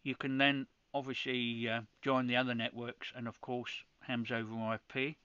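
A man's voice: medium pitch, little pitch movement, moderate pace, bright, forceful tone, clear, resonant tone, dry recording, lo-fi sound. A man from the UK hosting a ham radio channel, walking viewers through a setup step by step in a practical tutorial, with frequent restarts. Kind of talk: screen-recorded tutorial.

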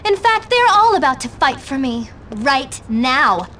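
High-voiced melodramatic teenage temptress. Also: female voice, sing-song, a little slow, pushed and bright, slight background noise, full character voice. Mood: boastful, dramatic. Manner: melodramatic, goading. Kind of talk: video game cutscene acting.

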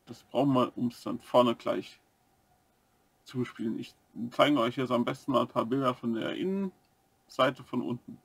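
A man co-hosting a building-set review, explaining the features step by step in a relaxed casual way. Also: mid-range voice, moderate pace, slightly rough, no room sound. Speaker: co-host of a brick-building review channel.

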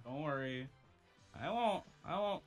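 A man's voice: a little high, animated, a little slow, soft background music. A man chatting casually during a video game with friends, playfully throwing out short exclamations. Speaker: player in an online gaming group. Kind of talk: casual gameplay banter with friends.

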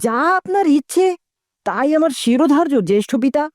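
A woman's voice: high-pitched, lively intonation, fairly quick, a little mellow, dry recording, clean studio sound. A woman playing a devoted, self-assured young prince in a fairy-tale cartoon, with formal, earnest courtly speech.

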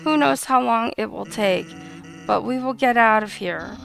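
A woman's voice: on the high side, moderate pace, gravelly, fairly bright tone, faint music behind. A woman voicing a laid-back, kindly male skeleton in a casual, understated way for a video game. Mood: gentle, subdued. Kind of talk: video game dialogue reading.

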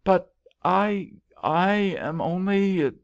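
Man putting on a timid, pleading young woman, pitched up. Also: extremely slow, gravelly, lo-fi sound, full character voice. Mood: humble, wistful. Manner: hushed, pleading, earnest.

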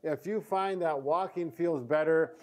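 A man's voice: a little high, a little slow, darkish tone. An American man in his fifties giving a casual, conversational instructional demonstration as a physical therapist. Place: indoor therapy room.

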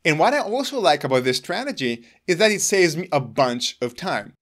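A man in his thirties with a slightly higher-than-average voice, speaking English as a second language after growing up with Hebrew. He talks earnestly to the camera about his personal routine, gesturing with his hands, from a room indoors. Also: sing-song, normal speed, fairly bright tone. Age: 30s. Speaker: wellness channel host. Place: indoor room in front of gray curtains.

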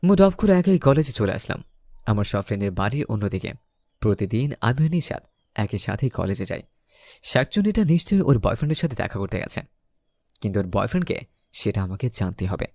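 A man's voice: on the low side, big pitch swings, a little fast, a little mellow, dry recording, clean studio sound. A young man narrating a romantic comedy story in the first person, theatrically acting out the lines. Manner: theatrical, acts out his lines.

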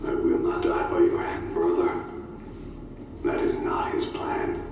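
A man playing a fanatical, devout soldier prisoner in a game audio log, preachy, solemn and menacingly certain. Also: lightly acted. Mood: defiant. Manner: preachy, solemn, slow and deliberate. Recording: echoey hall from far off, faint noise.

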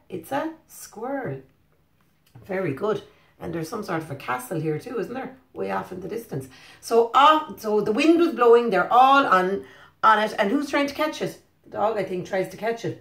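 A woman with an Irish accent reads a picture book to young children, animated and encouraging, often asking them questions. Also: mid-range voice. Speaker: preschool teacher. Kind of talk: interactive storybook reading for young children. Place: living room indoors. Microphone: phone at about arm's length. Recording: roomy home phone recording.

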